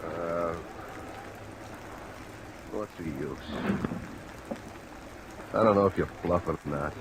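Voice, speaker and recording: A man's voice: sits low, slow pace. A man acting a nervous, defiant bartender being questioned in an old-time radio crime drama, evasive and sullen, with rain behind him. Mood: defeated confession. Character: nervous, defiant male bartender cornered into confessing. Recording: noisy vintage radio recording, rain sounds.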